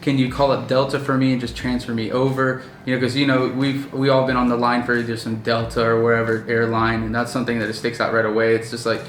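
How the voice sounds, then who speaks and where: A man's voice: medium pitch, little pitch movement, fast, few pauses, a bit bright, echoey room, a little hiss or hum. Rambling young man hosting a vlog. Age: 20s. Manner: rambling, many fillers and restarts. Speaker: vlog host and business owner. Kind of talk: casual chat. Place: indoors at night.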